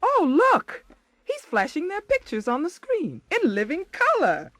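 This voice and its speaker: A woman's voice: very high-pitched, huge pitch swings, unhurried, fairly bright tone, no echo, full character voice. An actress playing a hurt, assertive wife confronting her husband in a radio drama, pointed and moving between sarcasm and earnestness. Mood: needling. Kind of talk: 1980s radio drama acting.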